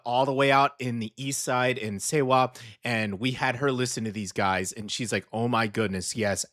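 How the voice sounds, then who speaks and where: A middle-aged man talking into a studio microphone as a radio host, easygoing and upbeat. His pitch sits mid-range for a man, and his chat wanders with playful asides and self-mocking jokes. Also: fairly bright tone. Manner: upbeat, rambling and jokey.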